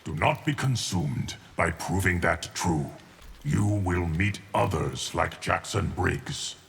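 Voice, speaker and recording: An actor playing an ancient, solemn immortal guardian of time, speaking formally and with measure in a fighting game. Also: male voice, sits low, expressive, slow pace, gravelly, fairly bright tone, slight background noise, heavily acted. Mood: solemn, calm. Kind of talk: scripted fighting game dialogue.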